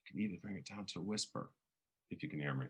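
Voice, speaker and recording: A middle-aged man with a big, booming voice, leading a public speaking workshop and playing with his volume and pace to make his points. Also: racing. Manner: animated, varies volume and pace for emphasis. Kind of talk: lively workshop lecture.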